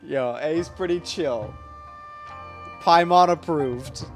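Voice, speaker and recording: A man's voice: a little high, takes it slowly, faint music behind. A man giving casual, playful live gameplay commentary as a streamer, informal and slangy. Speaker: game streamer.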